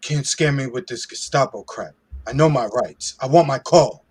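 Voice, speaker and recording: Guy doing young hacker under pressure, husky voice, medium pitch, blunt n casual, radio play. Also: normal speed, natural voice. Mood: defiant. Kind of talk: amateur radio play script reading.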